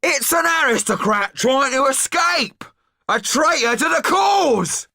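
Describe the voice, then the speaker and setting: A man voicing a huge, fierce man who shouts urgently in a dramatic audiobook. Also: extremely high pitch, animated, takes it slowly, no echo, clean studio sound, pitched above the performer's own voice, heavily acted. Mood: urgent shouting.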